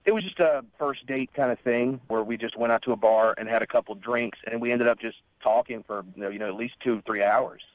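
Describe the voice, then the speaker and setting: Guy calling into radio on a lo-fi phone, talks fast, casual and earnest, kinda hesitant. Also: mid-range voice, no room sound. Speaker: phone-in caller. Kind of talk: casual radio call, recounting a story. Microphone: telephone. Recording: dull, lo-fi phone line.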